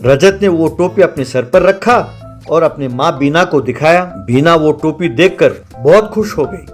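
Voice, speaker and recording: A man's voice: a little high, unhurried, soft background music. A man reading scripted narration for a children's cartoon story.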